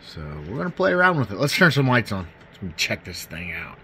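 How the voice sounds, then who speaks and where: A man's voice: medium pitch, sing-song, brisk, pushed and bright. A man giving a casual hands-on demonstration as a hobbyist host, talking in a folksy, informal, enthusiastic way. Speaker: host of a CB radio demo channel. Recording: clear background noise.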